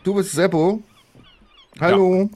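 A man's voice: high voice, steady tone, mellow. A man playing a hesitant, good-natured helper in an improvised pirate audio drama, agreeable and a bit grumbly. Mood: polite, eager. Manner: slow, agreeable, grumbles under effort.

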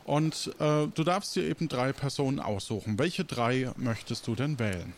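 A man acting as game master, narrating an improvised story informally and haltingly as he invents it. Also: mid-range voice, slight background noise. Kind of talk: improvised storytelling in second person.

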